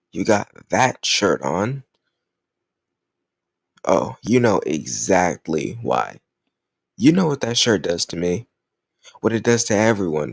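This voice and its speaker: Man playing a cocky, gravelly flirt. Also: on the low side, no room sound, clean studio sound, heavily acted. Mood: admiring, jealous. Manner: teasing, cajoling, smug, drawn-out words. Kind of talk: intimate romantic audio roleplay.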